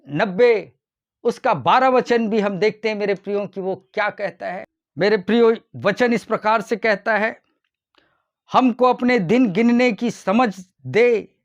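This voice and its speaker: Guy preacher doing a devotional sermon, pretty high voice, really slow deliberate delivery. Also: dull audio. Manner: deliberate, repeatedly addressing listeners as dear ones. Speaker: pastor.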